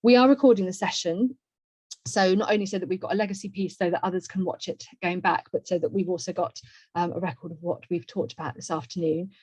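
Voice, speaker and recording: A woman's voice: lowish voice, fairly even intonation, quick pace, a little mellow, slightly rough. A woman hosting an online webinar, talking through informal housekeeping in a chatty, organised way over a video call. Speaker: webinar host. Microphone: video call microphone. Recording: roomy, dull video-call audio.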